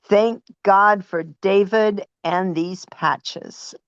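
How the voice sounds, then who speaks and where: Woman calling in, slow halting talk, dull dry audio. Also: mid-range voice, fairly even intonation, smooth, no echo. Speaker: caller sharing a testimonial. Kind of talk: casual testimony over a call.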